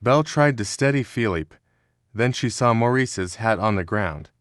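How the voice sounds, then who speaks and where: Low-voiced man narrating a storybook. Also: lively intonation, normal speed, a bit bright, no room sound, studio quality. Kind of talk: scripted narration.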